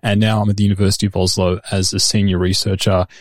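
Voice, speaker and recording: A man with an Australian accent, a researcher explaining his work in a steady, conversational way. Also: on the low side, fast talker, no room sound, studio quality. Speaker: researcher and podcast host. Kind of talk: conversational interview answers.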